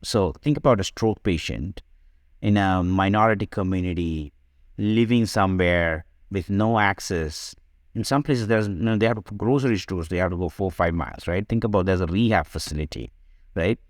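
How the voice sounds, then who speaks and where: A man speaks as a guest on a podcast, explaining in a conversational way. His voice sits on the low side and he often tags points with a questioning "right?" The sound is dry, with hardly any room in it. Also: moderate pace, no room sound. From Sri Lanka. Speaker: podcast guest, digital health company co-founder. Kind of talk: conversational interview answers.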